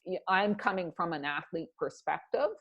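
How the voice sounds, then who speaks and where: A woman in her fifties with a slightly low voice answers as an interview guest. She talks conversationally and reflectively, setting out her points in earnest, emphatic phrases. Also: normal speed, dull audio. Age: 50s.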